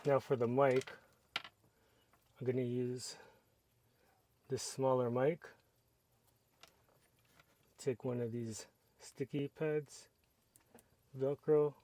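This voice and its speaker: Relaxed man walking through a setup. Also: medium pitch, drawn-out words, pauses often. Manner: relaxed, step-by-step explaining while working with hands. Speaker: product reviewer. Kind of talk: casual how-to narration.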